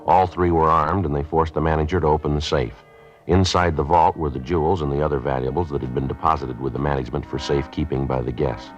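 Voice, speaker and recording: A man with an American accent playing a terse, level-headed police sergeant in a 1950s radio drama, speaking in clipped, deadpan sentences. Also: extremely low pitch, fast talker, faint music behind. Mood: matter-of-fact. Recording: dull, noisy old radio recording.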